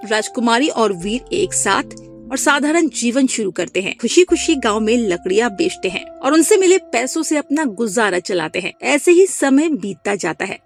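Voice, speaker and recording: A woman narrates a children's cartoon tale from a script. Her voice is on the high side with very expressive pitch movement, over light music.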